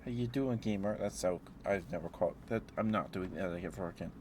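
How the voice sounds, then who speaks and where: A man's voice: deep voice, brisk, darkish tone, a little hiss or hum. A man streaming ASMR, chatting casually and playfully to his live viewers in a rambling way.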